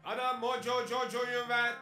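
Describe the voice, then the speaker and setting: A man's voice: extremely high pitch, flat delivery, a little slow, exaggerated voice. A man voicing a cold, literal-minded killer robot in a cartoon, with clipped mechanical delivery. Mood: cold, commanding. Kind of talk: cartoon dub acting. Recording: echoing, distant, loud music behind.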